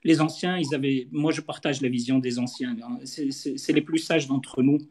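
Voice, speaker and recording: A man's voice: medium pitch, fairly even intonation, moderate pace, darkish tone. A man speaking as a doctor in an interview, earnestly urging listeners to stay home. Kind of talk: interview guest giving health advice.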